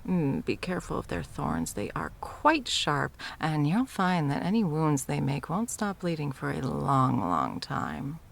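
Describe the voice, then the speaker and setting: Woman playing an arrogant, low-voiced, gravelly sorceress tyrant. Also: very animated, measured pace, few pauses, full character voice. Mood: condescending menace. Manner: taunting, theatrical, condescending. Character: arrogant undying sorceress tyrant, mocking, easily bored, playful. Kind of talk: ASMR roleplay audio drama.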